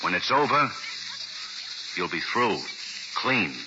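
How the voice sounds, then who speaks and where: A man playing a calm, fatherly assistant district attorney in a 1940s radio drama, measured and reassuring. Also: on the low side. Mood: firm. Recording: old 1940s radio broadcast, hissy and dull.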